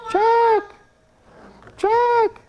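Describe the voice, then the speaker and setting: A tiny storybook bird chirps in a bright, very high voice, eagerly calling and urging someone to follow her, as a man puts her on. The voice sits far above a man's usual range, lively and insistent. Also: extremely slow, full character voice. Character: chirpy little female bird, eager guide.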